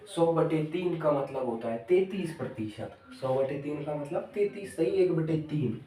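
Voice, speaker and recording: A man's voice: medium pitch, warm tone. A male maths teacher explaining a lesson step by step, repeating each step and asking the children questions. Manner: addresses the pupils as children. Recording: echoey room, voice far from the mic.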